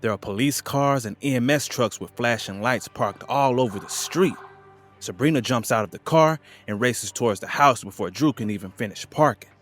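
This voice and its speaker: A man's voice: medium pitch, normal speed, soft background music. A man reading scripted narration for an audio drama.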